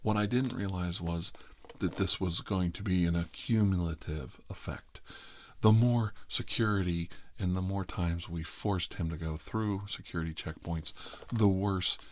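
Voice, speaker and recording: A man's voice: low voice, a little slow, a little mellow, a little hiss or hum. A middle-aged man with an American accent telling a rambling personal story in a casual, wry, self-deprecating way. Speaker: host.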